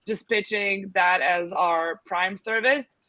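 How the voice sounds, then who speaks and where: Frau mit serbischem Akzent, hell. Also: female voice, medium pitch, takes it slowly, pushed and bright, no echo. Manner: many fillers, thinks aloud. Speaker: agency founder, guest. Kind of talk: casual interview talk.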